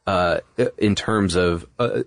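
Low-voiced man chatting through history. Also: slow, vocal fry, no room sound. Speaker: podcast co-host. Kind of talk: casual conversational history explainer.

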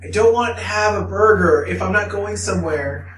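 A man's voice: sits high, normal speed, a bit bright, slight background noise. A man co-hosting a podcast in casual chat, blunt and rambling, swearing freely. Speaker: podcast co-host. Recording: echoey room, mic at a distance.

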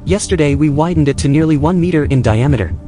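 An actor playing a literal-minded, slightly peevish station technician in a science-fiction audio drama. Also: male voice, on the high side, animated, quick pace, dark tone, natural voice. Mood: matter-of-fact. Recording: music bed behind the voice.

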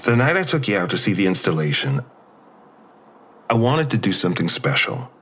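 A man playing an injured, tender boyfriend in an audio drama, earnest and a little halting. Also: fairly low pitch, big pitch swings, a bit bright, breathy edge, exaggerated voice. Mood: confessional, nervous.

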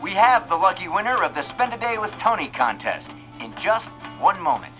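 A man's voice: sits high, very animated, a little fast, gravelly, pushed and bright, highs cut off, soft background music, exaggerated voice. A man playing a showy, old-time radio announcer with a theatrical salesman's delivery. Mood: showy, suspenseful. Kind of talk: 1950s-style radio announcing.

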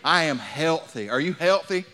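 Forceful older male preacher, bright voice. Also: a little high, expressive, normal speed. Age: 60s. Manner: folksy, anecdotal, addresses listeners with repeated questions. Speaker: pastor preaching. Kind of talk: sermon to a congregation.

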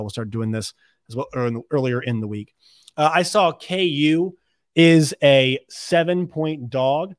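A man hosts a sports podcast in an unscripted, conversational style. His voice sits a little high for a man and is recorded dry, with very little room sound. He talks through analysis and picks as if thinking aloud, often correcting himself mid-sentence. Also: no room sound.

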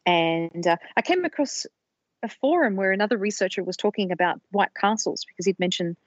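A woman co-hosting a podcast, explaining research in a measured storytelling way on a slightly dull, lo-fi recording. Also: medium pitch, lively intonation, dry recording. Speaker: podcast co-host presenting research.

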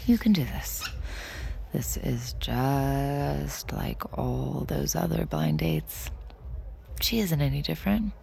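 A nervy, guarded woman in an audio drama. Her low voice crackles with fry and moves slowly, hesitant and wry, as if doubting herself. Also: big pitch swings, a little mellow, full character voice. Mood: brooding, anxious. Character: guarded, nervy adult woman, secret mind reader, wry. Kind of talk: audio drama acting, intimate narration and dialogue.

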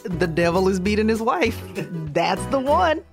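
A woman's voice: mid-range voice, sing-song, a little slow. A woman with a Southern accent chats as a guest comedian in a playful, easygoing way. She sits a little back from the mic, and faint music plays under her. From Georgia, US. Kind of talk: casual chat.

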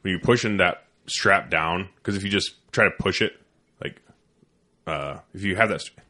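A man with a very low, deep voice that comes out mumbled, though his tone stays bright and forward. He chats casually as a host and swears freely as he rambles. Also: normal speed. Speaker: podcast host. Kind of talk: casual chat.

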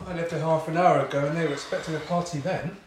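A man's voice: fairly high pitch, fairly even intonation, fast, crowd noise, close to an everyday voice. Man acting a lonely, lightish-voiced man. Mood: hopeful, resigned. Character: lonely man angling for company. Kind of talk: audio drama acting. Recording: very echoey, distant, faint noise.

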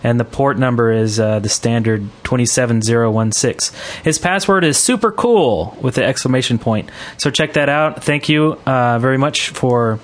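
Casual male podcast host, halting delivery. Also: medium pitch.

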